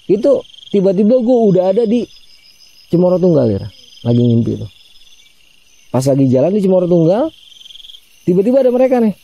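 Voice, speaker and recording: A man tells a ghost story in a relaxed, chatty way. His voice is dark and gravelly, and somewhat husky. Crickets and frogs chirp in the background. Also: high voice, brisk, pauses often, muffled recording. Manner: chatty, addresses listener by name, acts out quoted voices. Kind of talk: casual spoken ghost story.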